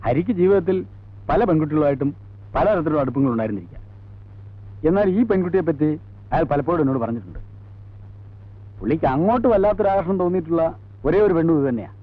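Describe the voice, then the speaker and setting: A forthcoming male witness explains what he knows in an old film drama. His voice is fairly high and very lively, moving quickly, on a tinny soundtrack. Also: no room sound. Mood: recollecting. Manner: animated, explanatory. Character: forthcoming male witness, a friend recalling confidences. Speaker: actor in a film. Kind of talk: 1980s film drama acting.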